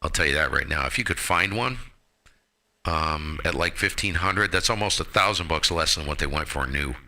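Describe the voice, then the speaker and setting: Deep, very bright-voiced man. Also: lively intonation, moderate pace. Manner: emphatic, colorful slangy talk. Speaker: amateur radio operator and streamer. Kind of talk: casual ham radio chat.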